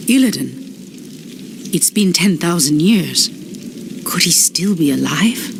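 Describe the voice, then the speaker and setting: A woman's voice: on the low side, lively intonation, slow, creaky, noisy background, full character voice. An actress playing a resolute, defiant female elf priestess. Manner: determined, defiant.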